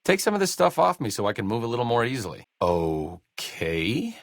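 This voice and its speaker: A man's voice: mid-range voice, big pitch swings, normal speed, no room sound. A man playing a wry, bookish doctor companion in a post-apocalyptic video game, with dry, sardonic and articulate delivery.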